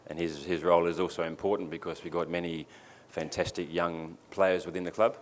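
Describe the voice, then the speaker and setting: A man's voice: low-pitched, level tone, a little fast. A male club official moderating a press conference and answering a question in a loose way. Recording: distant reverberant room with faint noise.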